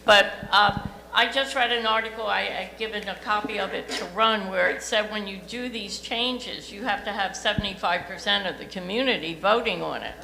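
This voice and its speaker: A woman resident who speaks loudly in a rambling way during a community meeting. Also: mid-range voice, moderate pace, vocal fry, few pauses, reverberant, background noise. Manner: loud, rambling.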